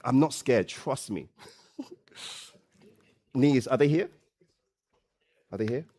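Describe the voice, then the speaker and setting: A man hosting a live stage event, loud and playful, coaxing the audience and often repeating phrases. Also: medium pitch, expressive, slow pace. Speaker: event host and MC.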